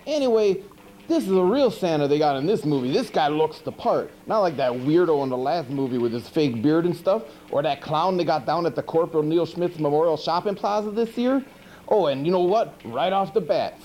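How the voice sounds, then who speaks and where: Man acting as goofy monster TV host, mellow voice, lively swooping pitch, folksy slangy rambling, noisy old VHS tape. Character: goofy, rambling monster hosting a horror movie show. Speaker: horror movie show host. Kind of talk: comic movie commentary.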